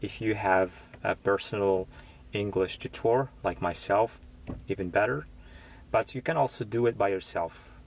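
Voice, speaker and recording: A man from Morocco, an English tutor chatting live with his viewers, unhurried and pausing often with fillers. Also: lowish voice, slight background noise. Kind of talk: live stream chat with viewers.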